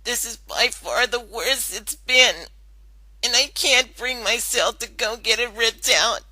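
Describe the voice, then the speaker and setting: A frightened young cartoon pony mare acted by a woman, with a very bright, sharp edge to the voice. The delivery is slow and hesitant, stumbling anxiously over words in a dramatic storybook reading, recorded dry and close. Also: a little high, no echo, exaggerated voice, low-quality recording. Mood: anxious, reluctant. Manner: hesitant, stammering. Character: scared young female pony, anxious and reluctant about surgery. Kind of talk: dramatic fan-fiction reading.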